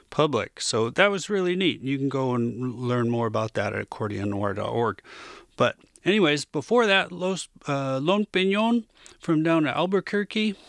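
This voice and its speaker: A man's voice: mid-range voice, creaky, dry recording, clean studio sound. A man hosting a music radio show in a casual, unscripted way, rambling and often searching for his words.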